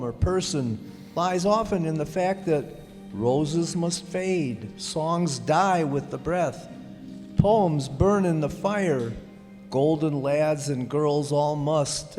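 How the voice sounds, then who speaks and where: A man delivers a solemn, scripted literary introduction. His voice is mellow and unhurried. It reverberates in a hall with faint music underneath. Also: on the high side. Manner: solemn, deliberate phrasing. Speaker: introducer of the story. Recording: echoey hall, long reverb.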